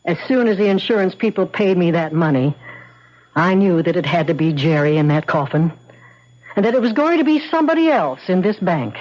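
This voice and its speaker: Bitter, pointed wife, dull radio sound. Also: female voice, on the low side, lively intonation, brisk, darkish tone. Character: bitter, outspoken wronged young wife. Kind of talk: 1970s radio drama acting. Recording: muffled 1970s AM radio broadcast.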